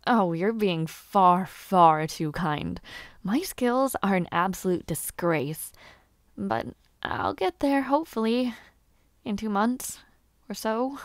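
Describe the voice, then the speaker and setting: A woman performs a young highborn lady and would-be knight in an intimate fantasy audio drama. She speaks at an unhurried pace with shy hesitations and a playful streak, using genteel, old-fashioned phrasing. The sound is close and dry. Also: lively intonation, no echo. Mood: self-deprecating, teasing. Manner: bashful, halting stammers, giggly, formal old-fashioned wording. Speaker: roleplay voice actor. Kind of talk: intimate scripted audio roleplay.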